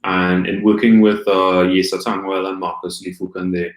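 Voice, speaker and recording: A man from Trinidad and Tobago in his thirties, a guest telling his story in a relaxed, rambling way with many ums. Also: deep voice, normal speed. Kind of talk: casual live-stream interview. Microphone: video call. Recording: echoey room on a video call.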